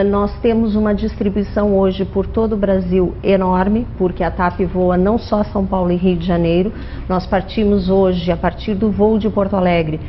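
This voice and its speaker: A woman's voice: medium pitch, slight background noise. A woman born in Portugal, working as a regional airline manager, speaking fluently and in a businesslike way as a TV interview guest. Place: TV studio. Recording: dull TV audio.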